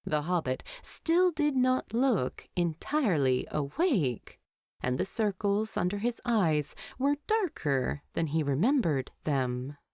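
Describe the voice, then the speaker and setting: A woman's voice: fairly low pitch, very animated, takes it slowly, a little mellow, dry recording, clean studio sound. A woman narrating a scripted story as an audiobook-style reader.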